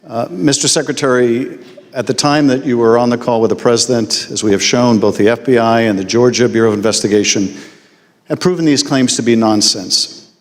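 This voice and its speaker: A man questioning a witness at a formal hearing in a measured, deliberate way. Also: mid-range voice. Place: large hearing room. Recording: long hall reverb.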